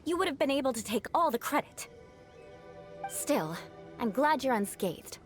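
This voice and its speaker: A woman's voice: high-pitched, big pitch swings, normal speed. A woman voicing a proud, prickly young noblewoman knight in an anime video game, formal and dramatically vengeful. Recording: game music behind voice.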